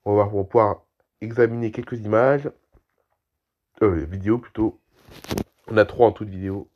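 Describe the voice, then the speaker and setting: A man with a cold reads aviation news aloud, clearing his throat and correcting himself as he goes. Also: fairly low pitch, steady tone, moderate pace, lo-fi sound. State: has a cold, coughing. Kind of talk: reading aviation news with commentary.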